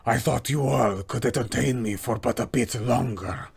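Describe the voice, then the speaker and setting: Guy doing a menacing vampire lord, super husky n gravelly, snooty formal villain talk, tabletop game baddie. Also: medium pitch, moderate pace, darkish tone. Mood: menacing, gloating. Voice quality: husky, gravelly, sometimes whispered. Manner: imperious, formal, theatrical villain speech. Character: arrogant, menacing vampire lord taunting his prey.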